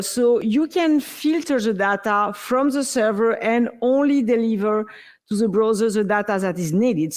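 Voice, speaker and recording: A woman's voice: on the high side, darkish tone. Woman, French accent, upbeat conference speaker, explanatory, stage mic, big hall. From France. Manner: upbeat, explanatory, playful comparisons. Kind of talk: tech conference talk.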